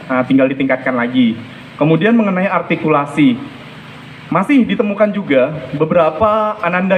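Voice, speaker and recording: A man's voice: high-pitched, narrow, tinny audio, a little hiss or hum. A man giving explanatory, emphatic spoken feedback as a storytelling coach, repeating his key points.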